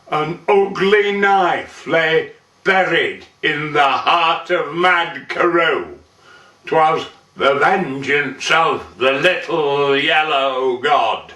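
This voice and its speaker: Slow, echoey man reciting dramatic verse. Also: a little high, fairly bright tone. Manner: measured, deliberate, theatrical phrasing. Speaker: monologue performer, reciter. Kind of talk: dramatic poetry recitation, old-fashioned narrative monologue. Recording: roomy, echoing, distant microphone.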